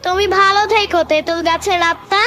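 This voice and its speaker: A woman's voice: very high-pitched, fairly even intonation, brisk. A woman voicing a fearless, curious little village boy in a cartoon, bold, chirpy and inquisitive, with music behind. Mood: friendly, curious. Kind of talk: cartoon character voice acting.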